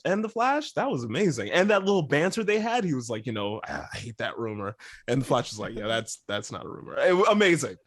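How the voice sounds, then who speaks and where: A man's voice: a little high, no room sound, clean studio sound. A man co-hosting a podcast, talking casually about entertainment in a conversational style. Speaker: podcast co-host. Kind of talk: casual pop culture discussion.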